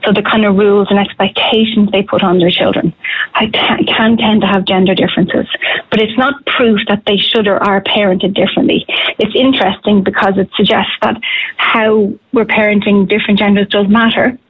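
A woman, a clinical psychologist answering radio interview questions in a measured, hedging way with frequent restarts. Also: medium pitch, fairly even intonation, slightly rough. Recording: phone line.

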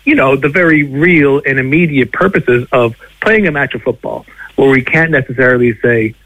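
A man's voice: medium pitch, normal speed, a bit bright, no echo, muffled recording. A man with an American accent tinged with Irish, explaining things conversationally as an academic guest, in a hedging, discursive way. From Boston, United States. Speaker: linguistics professor, radio guest.

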